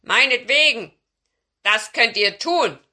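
Woman as a loud bellowing hungry giant. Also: fairly high pitch, expressive, takes it slowly, pitched above the performer's own voice, exaggerated voice. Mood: impatient. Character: huge hungry male giant, bullying and boastful.

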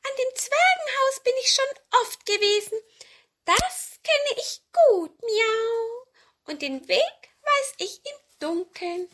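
A very high, bright old she-cat for a children's storybook. She is sly and coaxing, drawing out her words with wheedling meows. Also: animated, exaggerated voice. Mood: mewling, assured. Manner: meowing, drawn-out wheedling speech. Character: ancient talking cat, female, sly and coaxing.

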